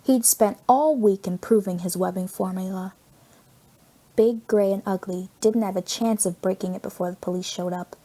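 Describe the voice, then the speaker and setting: Woman, audiobook narrator, mid-range pitch, scripted fiction reading, expressive storytelling.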